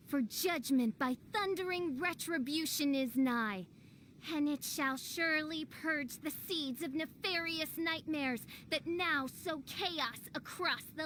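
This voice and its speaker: A woman's voice: high-pitched, fairly bright tone, slight background noise. A woman voicing a theatrical teenage gothic princess in a video game, haughty, pompous and declaiming in archaic language. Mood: dramatic. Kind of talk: video game character acting.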